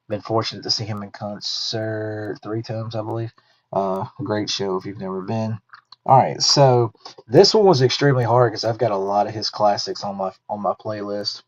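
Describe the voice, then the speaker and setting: A man's voice: on the low side, darkish tone. A man in his thirties hosting a podcast in a chatty, easygoing way, thinking aloud and correcting himself as he goes. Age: 30s. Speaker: podcast host. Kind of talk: casual podcast talk. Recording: dull lo-fi sound.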